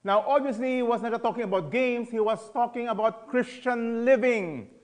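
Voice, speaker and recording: A man's voice: extremely high pitch, moderate pace. A middle-aged man teaching a Bible study in a conversational, emphatic way, asking the audience questions. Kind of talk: sermon.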